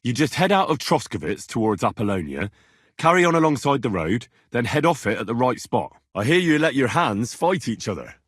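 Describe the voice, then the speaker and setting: A man playing a plucky, wry young medieval adventurer in video game dialogue, conversational and dry, with a slightly husky voice. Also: mid-range voice, very animated, a little fast, no echo. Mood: casual, eager.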